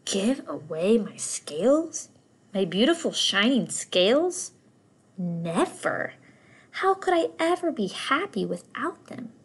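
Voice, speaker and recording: A woman voicing a vain, proud male fish in a storybook, speaking in a shrill, indignant way. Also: big pitch swings, takes it slowly, breathy edge, heavily acted. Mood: plaintive.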